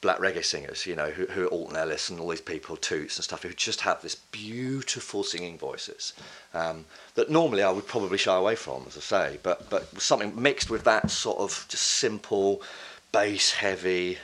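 A middle-aged man from England talking casually as an interview guest, rambling and halting with many restarts and fillers, and swearing now and then. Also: low voice, fairly bright tone.

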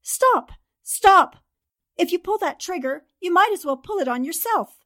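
A woman playing a sharp, commanding newspaper columnist who questions briskly in a 1940s-style radio drama. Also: very high voice, lively intonation, full character voice. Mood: urgent.

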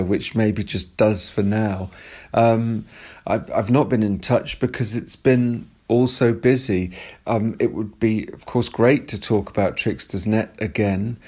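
A man speaks very slowly in a slightly low register, as if leaving a message for a podcast. His delivery is casual and hesitant, with halting phrases that he often repeats. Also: a little mellow. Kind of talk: casual rambling voicemail chat.

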